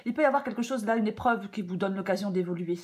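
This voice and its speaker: Slightly hoarse French woman reading tarot. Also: medium pitch, quick pace, darkish tone. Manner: hesitant, many fillers and repeated phrases. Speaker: tarot reader. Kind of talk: casual spoken tarot guidance.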